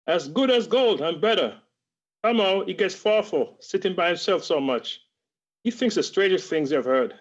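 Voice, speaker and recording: A man playing a gentle, devoted and humble Victorian father, mild and earnest, in a radio play over a video call. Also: high-pitched, lively intonation, moderate pace. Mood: tender. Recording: dull lo-fi video call audio.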